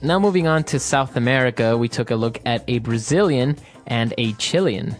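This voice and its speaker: A man from Ecuador co-hosting an English lesson podcast, upbeat and often affirming with a quick "that's right". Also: mid-range voice, animated. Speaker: podcast co-host, English teacher. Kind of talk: scripted teaching dialogue.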